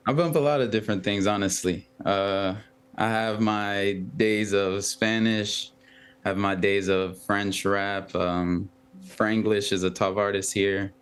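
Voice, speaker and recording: A young man, a professional footballer and a Twi speaker, giving halting, soft-spoken interview answers full of ums. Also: lowish voice, fairly even intonation, smooth. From United States.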